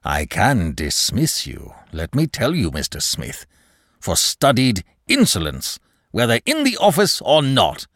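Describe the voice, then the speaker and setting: A man as a pompous, exasperated bank manager, animated delivery. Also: medium pitch, unhurried, no echo, clean studio sound, pitched above the performer's own voice, heavily acted. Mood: angry, curt. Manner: stiff, indignant, scolding formal speech. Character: pompous, exasperated male bank manager, stern and easily angered. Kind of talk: audiobook character dialogue.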